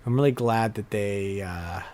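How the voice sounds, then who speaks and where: A man's voice: lowish voice, a little slow, darkish tone, slight background noise. A man chatting casually and enthusiastically as the host of a retro gaming podcast.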